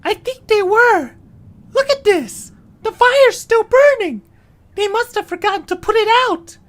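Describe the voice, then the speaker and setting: A tiny, eager creature in an extremely high, squeaky pitch. It sounds alert and observant, like a cartoon sidekick in an audio drama. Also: male voice, expressive, unhurried, fairly bright tone, heavily acted. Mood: alert, curious. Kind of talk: amateur audio drama acting.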